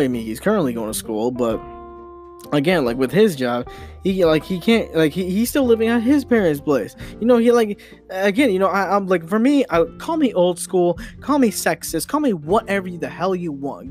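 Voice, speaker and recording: Young guy podcaster ranting off the cuff, kinda high voice, keeps restarting sentences, guitar playing behind him. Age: young adult. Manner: halting, repeating and restarting phrases, emphatic, mildly sweary. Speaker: podcast host. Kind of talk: rambling, opinionated podcast monologue. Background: guitar music.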